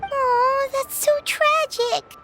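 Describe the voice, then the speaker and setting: A woman voicing a tiny, excitable childlike fairy companion in a video game, squeaky and chatty. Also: drawn-out words, loud music behind the voice, heavily acted. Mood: curious, chatty. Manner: refers to self in third person, exclamatory. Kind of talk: animated video game voice acting.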